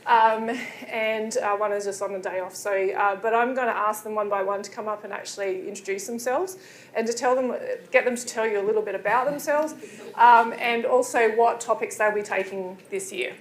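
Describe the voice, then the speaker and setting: A woman's voice: mid-range voice, moderate pace, slight background noise. A woman lecturing in midwifery, welcoming new students in a chatty way with many fillers. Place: lecture room. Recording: echoey room.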